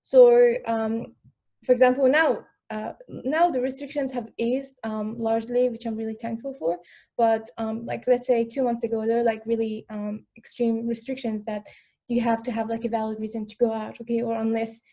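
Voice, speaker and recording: A woman's voice: a little high, flat delivery, normal speed, dark tone. A young woman from Afghanistan, speaking English as a second language, talking earnestly on a panel with many fillers and restarts. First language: not English. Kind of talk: online panel conversation.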